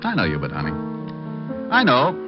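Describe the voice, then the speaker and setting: A man's voice: mid-range voice, wildly swooping pitch, moderate pace. An actor playing a wisecracking, tough male private detective in a 1950s radio drama, dry and clipped. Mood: teasing. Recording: dull lo-fi old radio broadcast with music.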